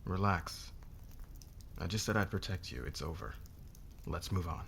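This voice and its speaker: A man playing a haughty, domineering businessman boss in an intimate ASMR roleplay, speaking in a measured, condescending way. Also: low-pitched, animated, vocal fry, a bit bright, a little hiss or hum, exaggerated voice. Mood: businesslike.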